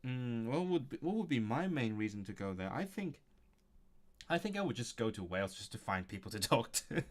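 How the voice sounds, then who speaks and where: A man's voice: medium pitch, very animated, fairly quick. A man streaming a language study session, reading from a textbook and thinking aloud with frequent chuckles. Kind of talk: casual livestream, reading a textbook aloud and commenting.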